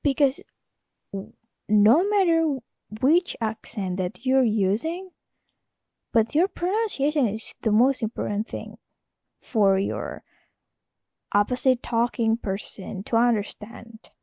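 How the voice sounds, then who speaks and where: A woman's voice: on the high side, very animated, slow, vocal fry, long pauses, dark tone, no echo, studio quality. An Indonesian woman, a native Indonesian speaker with an Indonesian accent, hosting a casual solo podcast in a rambling, hesitant way full of fillers. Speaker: podcast host.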